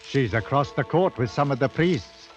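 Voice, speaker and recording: A man's voice: mid-range voice, lively intonation, normal speed, natural voice. Man playing a loyal, anxious British old soldier. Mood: uneasy. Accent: working-class English. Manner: earnest, plain-spoken, cautioning. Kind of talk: 1950s radio drama acting. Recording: muffled vintage 1950s radio broadcast, faint music.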